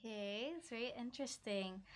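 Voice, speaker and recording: A woman's voice: fairly high pitch, brisk. A woman hosting a school podcast, asking her guests questions in a friendly way. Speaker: podcast host.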